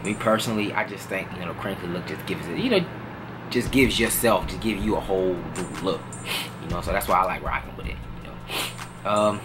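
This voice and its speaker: A relaxed young man, speaking in a fairly low voice. He talks loosely and casually, circling back with "you know what I'm saying," while clear music plays under him. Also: brisk. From New Orleans, Louisiana. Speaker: vlogger answering viewer questions. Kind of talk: casual Q&A chat.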